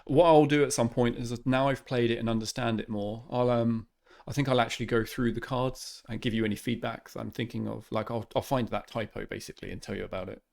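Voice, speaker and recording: A man's voice: medium pitch, fast talker, gravelly. A man hosting a casual, friendly chat about a board game he just played. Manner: relaxed, friendly, casual slang. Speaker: channel host.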